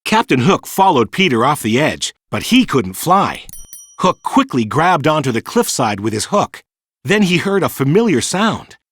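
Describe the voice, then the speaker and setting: A man reading a children's storybook in an expressive, clearly paced storytelling manner. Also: on the high side, moderate pace, dry recording, clean studio sound. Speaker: narrator. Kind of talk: scripted children's storybook narration.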